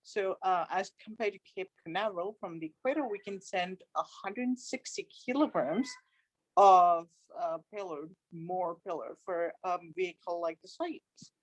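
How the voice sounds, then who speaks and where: A woman's voice: lowish voice, moderate pace, no echo, poor audio. A woman on a video call, explaining an idea eagerly with many fillers and restarts. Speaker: event participant. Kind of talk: casual virtual panel remarks.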